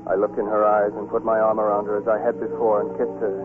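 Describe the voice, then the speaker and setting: An actor playing an earnest young lieutenant, speaking as lead and narrator in 1940s radio style. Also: male voice, fairly low pitch, completely flat delivery, quick pace, natural voice. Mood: sober recounting. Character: earnest young lieutenant in love, recounting war. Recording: muffled 1940s radio broadcast with music.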